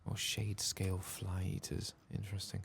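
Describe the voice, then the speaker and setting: A soft-spoken man murmuring ASMR commentary as he plays a video game. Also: low-pitched, monotone, moderate pace, creaky, a little hiss or hum. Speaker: ASMR gaming streamer.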